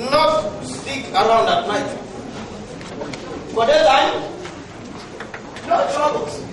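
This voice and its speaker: A man's voice: very high voice, exaggerated voice. Man acting a pompous boozing prophet, distant and echoey. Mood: pompous, preaching. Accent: Nigerian. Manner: declamatory, slow, oratorical. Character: pompous boozing deserted husband, theatrical self-styled prophet. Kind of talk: stage play acting. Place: large bare indoor stage. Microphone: far camera. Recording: echoey hall, distant camera mic, noisy audience.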